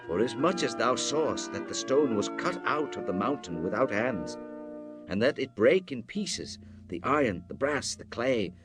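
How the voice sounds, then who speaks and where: A man playing a devout Hebrew prophet in a dramatized scripture reading, solemn, fervent and declamatory, with dramatic pauses. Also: medium pitch, very animated, over music, lightly acted. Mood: grave, proclaiming.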